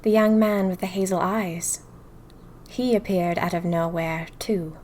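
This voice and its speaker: A woman's voice: on the low side, a little slow, slight background noise, lightly acted. A woman playing a spirited, inquisitive young diarist of the 1920s, narrating theatrically in a fiction podcast. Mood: suspenseful, bewildered. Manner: theatrical, expressive storytelling. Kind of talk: first-person diary narration in a fiction podcast.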